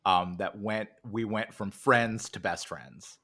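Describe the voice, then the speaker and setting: An American man talking casually in an interview, telling things in a halting way as he searches for words. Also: on the low side, steady tone, sharp tone. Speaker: interviewer and interviewee, podcast cast member. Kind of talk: casual anecdotal interview chat.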